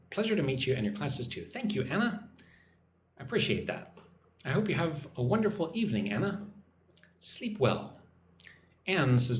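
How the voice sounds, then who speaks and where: An American man runs a relaxed English lesson on a livestream, reading out viewer comments and chatting back by name. His voice rises and falls in an animated way. The room has a noticeable echo with a faint hiss underneath. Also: mid-range voice. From United States. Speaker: English teacher. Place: home in Vietnam.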